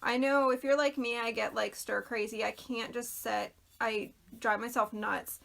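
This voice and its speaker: A woman talks her viewers through a craft project in an easy, rambling way, greeting them by name as she works. Her voice sits high and bright, with a slight worn, throaty edge. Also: fairly even intonation, a little slow, gravelly. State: losing her voice, clearing throat. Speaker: craft video host. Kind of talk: casual livestream craft chat.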